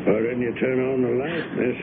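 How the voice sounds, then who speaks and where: Over a narrow, hissy old radio broadcast, a man plays a police officer on duty. His gravelly voice is firm, dry and official, with matter-of-fact suspicion. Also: medium pitch, moderate pace, close to an everyday voice. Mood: firm, suspicious. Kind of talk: radio drama acting.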